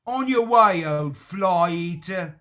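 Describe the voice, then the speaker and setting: An actor in a radio play performing as an emphatic, declamatory narrator and keeper. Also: male voice, high voice, animated, slow pace, pushed and bright, full character voice. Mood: gruff.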